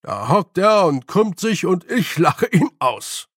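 Audiobook role: rustic, defiant old man played by a male reader, slow delivery, deferential but cheerful, close dry sound. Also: high voice, very animated, fairly bright tone, no echo, voice pushed up, full character voice. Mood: cheerfully defiant. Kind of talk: audiobook character voice.

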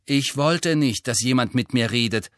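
A man's voice: medium pitch, unhurried, no room sound, studio quality, voice pushed up. A male narrator playing a moody, irritable teenage boy with a terse, defensive manner in an audiobook. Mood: irritated, defensive.

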